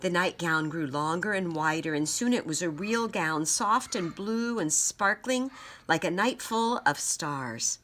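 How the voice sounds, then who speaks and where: A woman storyteller and teacher reading aloud and explaining for children, with deliberate, emphatic phrasing. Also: on the low side, sharp tone, a little hiss or hum. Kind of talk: children's read-aloud and simple lesson.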